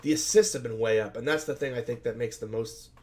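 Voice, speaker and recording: Male host, voice scratchy and hoarse after a loud night, casual rambling analyst who thinks aloud, middle-pitched. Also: fast talker. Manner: conversational, filler-heavy, thinks aloud and self-corrects. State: hoarse, scratchy voice from shouting at a game. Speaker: fantasy basketball analyst host. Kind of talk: solo sports betting slate breakdown.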